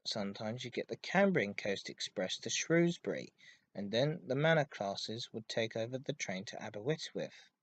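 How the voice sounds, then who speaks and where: Guy doing a history podcast on his own, mid-pitched voice, bit halting, really leans on his emphasis words. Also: animated, dry recording, muffled recording. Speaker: podcast host. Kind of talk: informative enthusiast monologue about railway history.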